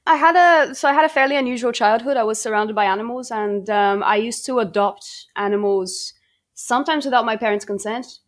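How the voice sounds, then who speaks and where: A woman's voice: fairly high pitch, a little fast. A young woman from Brazil, a native Portuguese speaker, talking casually about her research as a podcast guest, with frequent ums and restarts. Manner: chatty, many ums and restarts. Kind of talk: podcast interview, casual storytelling.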